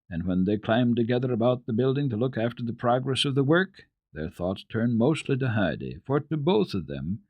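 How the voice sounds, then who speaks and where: A man reading classic children's literature aloud as a dramatic radio storyteller, with a deliberate, expressive delivery. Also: medium pitch, no echo, muffled recording.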